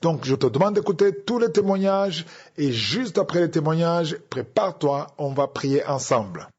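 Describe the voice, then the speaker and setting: A man's voice: fairly high pitch, lively intonation, normal speed, darkish tone, husky, lo-fi sound, faint music behind. A man, the preacher, telling viewers directly to listen to the testimonies before praying together. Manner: direct, instructing. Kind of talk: sermon introduction.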